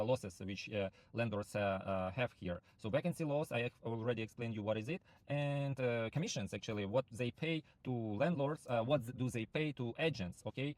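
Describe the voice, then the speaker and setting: A man whose first language is not English, giving a halting sales pitch for a rental platform, full of fillers and restarts. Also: dry recording. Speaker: property platform founder recruiting sub-agents. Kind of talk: screen-share walkthrough pitch.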